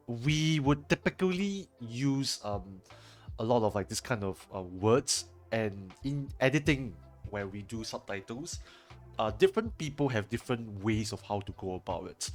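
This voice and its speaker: A man's voice: medium pitch, animated, moderate pace, faint music behind. A young man with a Singaporean accent chatting casually to his stream viewers, rambling with lots of fillers and restarts. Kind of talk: casual chat answering viewer chat while editing. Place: home room, indoors. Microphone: headset.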